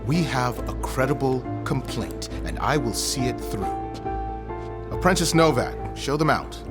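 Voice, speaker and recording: An actor playing a haughty, snobbish academic master in a gothic period audio drama, formal and disdainful. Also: male voice, mid-range voice, lively intonation, a little slow, over loud music, natural voice. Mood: stern, disdainful.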